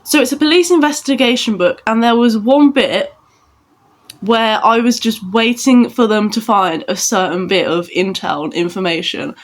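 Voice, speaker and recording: A woman's voice: on the high side, normal speed. A woman with a congested, bunged-up nose, chatting enthusiastically in a casual book vlog. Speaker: book vlogger.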